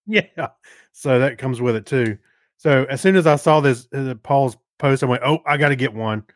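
A man's voice: medium pitch, normal speed, dry recording, clean studio sound. A man co-hosting a podcast, chatting in an informal, rambling way. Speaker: podcast co-host. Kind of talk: casual chat.

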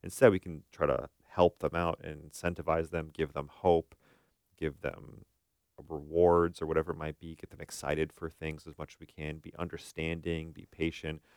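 A man's voice: very deep voice, level tone, moderate pace, a little mellow, no room sound, studio quality. A man hosting a podcast in a casual conversational style, thinking aloud and often checking for agreement. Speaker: podcast host.

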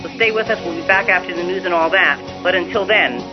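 High-voiced, lively male radio host. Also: quick pace. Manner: animated, repeats words when starting. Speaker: radio talk show co-host. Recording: dull lo-fi radio broadcast with faint music.

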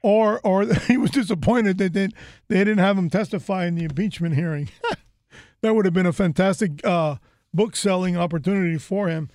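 High-voiced chatty man hosting. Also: moderate pace, darkish tone, no echo. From United States. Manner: rambling, chatty, many fillers and restarts. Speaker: host. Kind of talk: casual interview chat.

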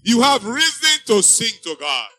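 A man's voice: extremely high pitch, big pitch swings, a little slow, bright, forceful tone. A man preaching a sermon to a church congregation. He exhorts the listeners with emphatic, repeating refrains. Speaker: pastor. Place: church before a live congregation.

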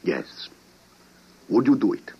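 A muffled vintage radio recording of a grave president with a low voice. He speaks in measured, persuasive rhetoric, with wide swings of pitch that give his solemn speeches weight. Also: sing-song, creaky, slightly rough, heavily acted. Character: grave, persuasive male president of a ruined future world. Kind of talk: old-time radio drama acting.

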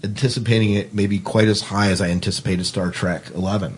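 A man's voice: lowish voice, steady tone, fairly quick, a little hiss or hum. A man hosting a casual film discussion, putting questions and giving his own opinions. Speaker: podcast host.

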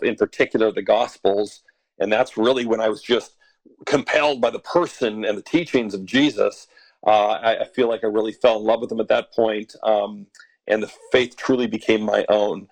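A man's voice: on the low side, moderate pace, gravelly, no room sound, poor audio. A man in his forties from western Canada, an author speaking as a podcast guest, thoughtful with frequent fillers and chuckles. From Alberta, Canada. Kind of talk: podcast interview.

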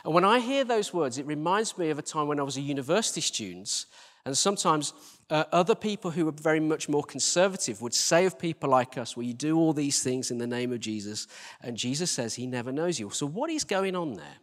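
High-pitched male preacher in a reverberant hall. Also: expressive, fairly quick. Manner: emphatic, rhetorical questions, repeats phrases for stress. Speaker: church team leader preaching. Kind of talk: conversational sermon. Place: large reverberant indoor church space. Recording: long-reverb church hall sound.

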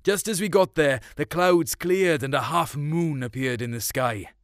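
A man's voice: on the high side, a little slow, no echo, studio quality. A man reading a first-person story in a colloquial, melancholic way. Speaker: short story narrator.

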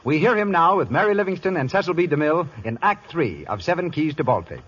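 A male radio host with a husky voice, announcing in 1930s style. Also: fairly high pitch, big pitch swings, quick pace, a bit bright. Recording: dull old radio broadcast with faint music.

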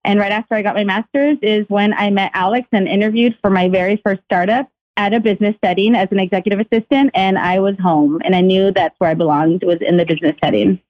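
A woman's voice: medium pitch, steady tone, fast, hardly any pauses. A woman from Chile, a company founder, speaking candidly as a radio interview guest and laughing easily. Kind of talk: phone interview, personal story. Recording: dull lo-fi call audio.

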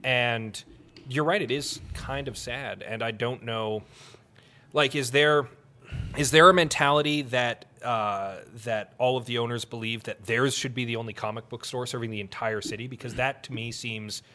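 A man, hosting a casual interview conversation in halting, searching phrases. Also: mid-range voice, bright, forceful tone. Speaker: podcast host.

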